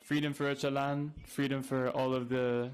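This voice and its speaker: Male activist speaker, earnest and halting, little pitch movement. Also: level tone, clean tone. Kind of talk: conference speech.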